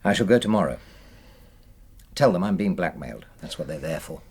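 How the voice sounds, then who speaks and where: An actor playing an aggrieved, defensive middle-aged British husband in a radio drama, indignant and self-justifying. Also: male voice, low voice, animated, a little fast, darkish tone, slightly rough, full character voice.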